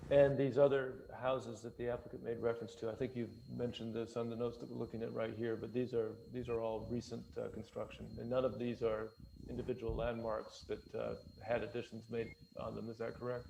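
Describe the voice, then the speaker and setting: A man moderating a formal online public meeting as its chair, speaking in a hesitant, halting way. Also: mid-range voice, level tone, creaky, dark tone, a little hiss or hum, low-quality recording.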